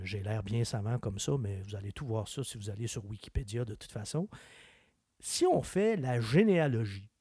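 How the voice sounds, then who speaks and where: A man with a Québécois French accent, a car expert chatting on a radio show in an opinionated, animated way. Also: mid-range voice, slightly rough, no echo, studio quality. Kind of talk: casual chat.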